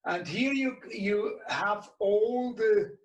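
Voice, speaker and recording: Middle-aged male tutorial presenter, Swiss German accent, native German speaker, halting and slow, recorded in a reverberant room. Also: high-pitched, muffled recording. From Switzerland. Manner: halting, searching for words in non-native English. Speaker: webinar presenter and radio shop co-owner. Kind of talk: informal product tutorial. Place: small indoor radio workshop. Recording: echoey room, distant mic.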